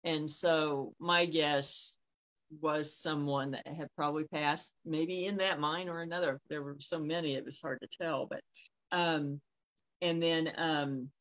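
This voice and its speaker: Middle-aged woman talking haltingly. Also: low voice, fairly even intonation, fairly bright tone, rough recording. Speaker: co-host. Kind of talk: casual conversational storytelling. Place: small room at home, on a video call. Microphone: device mic on a video call.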